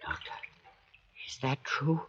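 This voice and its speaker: A man's voice: slow pace, fairly bright tone, no room sound. A man playing an anxious, pleading castaway with agitated, questioning delivery in 1940s radio drama. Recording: muffled, noisy old radio recording.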